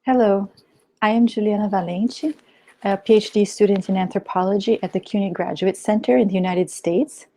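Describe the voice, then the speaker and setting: A woman in her thirties, a doctoral student, giving a steady, formal reading of an academic talk. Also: mid-range voice, moderate pace, slight background noise. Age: 30s. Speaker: anthropology PhD student. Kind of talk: read academic conference talk.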